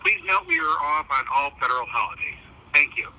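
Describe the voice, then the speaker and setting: A man reads a scripted office greeting with lively, varied pitch. It plays back over a telephone with clear noise around the voice. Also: fairly quick. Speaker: congressional office voicemail announcer. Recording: noisy telephone voicemail playback.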